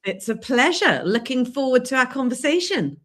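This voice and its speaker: A woman with an English accent, a guest on a podcast over a video call, talking in a lively way and laughing easily. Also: sits high, moderate pace. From England. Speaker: guest, leadership coach. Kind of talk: conversational interview.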